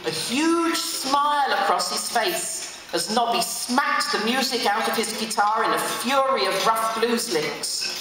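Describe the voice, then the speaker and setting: A middle-aged woman gives an expressive reading from a book at a lectern. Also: medium pitch. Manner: animated, acts out dialogue. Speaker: reader at a memorial event. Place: hotel function room, on stage. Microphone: stand mic at lectern through PA, recorded from audience. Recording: distant, echoey hall with clear noise.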